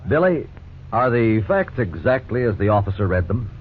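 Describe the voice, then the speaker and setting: A formal, authoritative court judge. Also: male voice, on the low side, very animated, normal speed. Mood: patient. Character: stern, patient male family court judge. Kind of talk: 1950s radio acting. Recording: scratchy 1950s radio broadcast, tinny, faint hiss.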